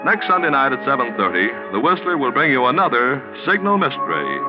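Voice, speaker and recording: Animated American male 1940s radio announcer. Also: medium pitch, brisk. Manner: polished, emphatic. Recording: muffled 1940s radio recording, faint music.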